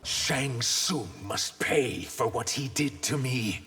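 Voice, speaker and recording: An actor playing an earnest, wary reptile-man warrior in a video game, husky and raspy. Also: mid-range voice, takes it slowly, bright, forceful tone, exaggerated voice. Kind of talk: video game character dialogue. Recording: long hall-like reverb.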